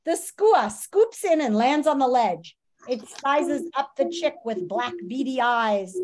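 A woman's voice: high voice, expressive, takes it slowly, a little mellow. A woman reads a children's nonfiction book aloud like a teacher, expressively and dramatically, with asides and questions to her listeners. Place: small room at home.

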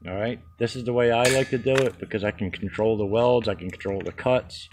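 Man, low voice, practical contractor talk, step by step, outdoors on a handheld phone, faint background noise. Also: fairly quick. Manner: practical, explains step by step with fillers. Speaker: contractor showing a fabrication method. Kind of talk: casual how-to walkthrough. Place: backyard, outdoors.

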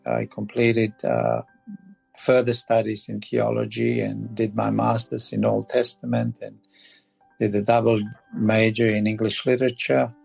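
A man in his fifties with a Balkan accent, talking casually and haltingly as an interview guest. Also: lowish voice, gravelly, a little mellow, husky, highs cut off, faint music behind. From Bosnia and Herzegovina, former Yugoslavia. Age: 50s. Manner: halting, repeats words. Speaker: podcast guest. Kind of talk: casual interview talk, recounting.

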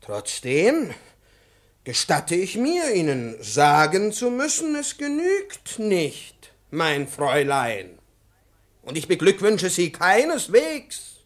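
Stuffy irritable old-school professor, pedantic lecturing, fifties radio drama. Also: male voice, high-pitched, huge pitch swings, slow pace, full character voice. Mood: stern lecturing. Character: pompous, irritable male private professor. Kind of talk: 1950s German radio play acting.

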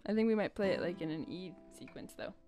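A woman's voice: lowish voice, lively intonation, quick pace, dark tone. A young woman chatting casually as a musical co-host, giving brief, agreeable replies. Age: young adult. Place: small dim room, live performance. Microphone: stand microphone. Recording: dull sound, faint guitar. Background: faint acoustic guitar.

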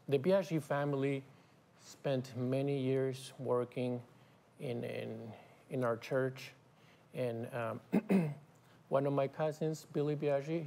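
A middle-aged man from Argentina, a guest musician, talking in a halting, casual way with fillers and restarts in a TV studio on a lapel mic. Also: medium pitch, creaky, darkish tone. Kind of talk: casual interview talk. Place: TV studio set.

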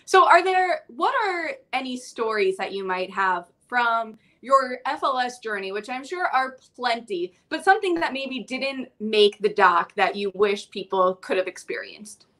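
A woman's voice: fairly high pitch, animated, unhurried, few pauses, fairly bright tone. A young woman hosting a casual video-call interview, bubbly and encouraging. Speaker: host. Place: home room, indoors. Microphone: video call webcam.

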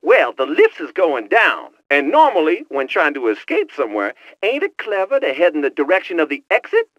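A man's voice: fairly high pitch, wildly swooping pitch, moderate pace, a bit bright, dry recording, highs cut off. An actor playing a boastful young male hacker who talks in teasing street slang over a headset in a video game. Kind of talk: video game cutscene voice acting.

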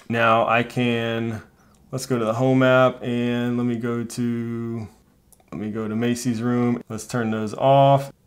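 A man in his thirties with an American accent, talking informally and thinking aloud as he hosts a tech tutorial. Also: mid-range voice. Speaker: tutorial host. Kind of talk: casual tech tutorial talk. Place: small indoor home studio.

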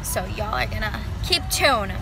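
A woman's voice: fairly high pitch, moderate pace, rough recording. A young woman vlogging in a casual, chatty, gushing way, recorded on a handheld camera at arm's length. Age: young adult. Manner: gushing, excitable. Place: car, street and rooms, on the move. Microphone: phone or camera at arm's length. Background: background music.

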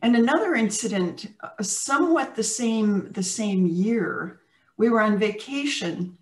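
An older woman with an American accent, talking in a measured, reflective way as an interview guest on a video call. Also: a little high, animated. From United States. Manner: measured, thoughtful, with pauses and restarts. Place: home room with plants, indoors. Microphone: video call webcam. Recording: roomy video-call audio from a distant mic.

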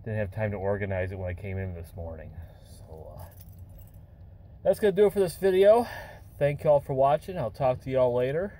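A tired American man in his forties, a truck driver, chatting casually and rambling from inside his truck cab into a phone camera. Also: mid-range voice. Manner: rambling, relaxed, many filler pauses. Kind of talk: casual vlog chat. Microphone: phone or dash camera at arm's length.

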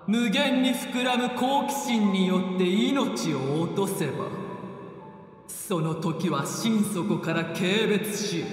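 Ancient, slow-speaking, echoing dragon. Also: female voice, fairly low pitch. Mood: weary, disdainful. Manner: grave, haughty, archaic diction. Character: ancient proud dragon, weary, solemn and disdainful. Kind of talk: dramatic game voice acting. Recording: cavernous reverberant voice effect, music behind.

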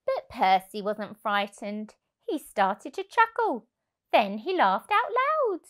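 Woman reading kids story, takes it slow, really animated, highish voice. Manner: expressive, deliberate. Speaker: childminder reading to children. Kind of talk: storybook narration for young children. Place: indoor room, on a sofa. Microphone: lapel mic.

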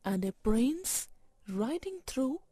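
Female narrator for children's bedtime tales, higher register, unhurried phrasing, prominent music underneath. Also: sing-song. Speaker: storyteller. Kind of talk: scripted children's fairytale narration. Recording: loud music and effects over voice.

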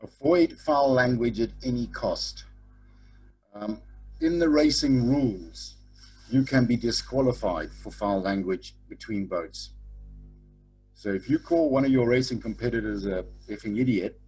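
A man's voice: medium pitch, unhurried, a little mellow, soft background music, poor audio. A middle-aged man teaching a sailing lecture over a webcam, blunt and informal, tagging his points with "okay?". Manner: frequent "okay?" tag questions. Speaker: sailing instructor. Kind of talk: online lecture. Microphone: webcam over video call.

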